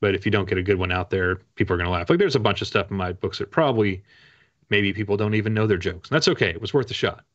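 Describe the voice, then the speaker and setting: A man's voice: lowish voice, racing. A man in his forties chatting casually as a guest author, rambling and self-deprecating, over a video call. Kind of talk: casual interview chat. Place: home room with bookshelves, video call. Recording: dull, lo-fi call audio.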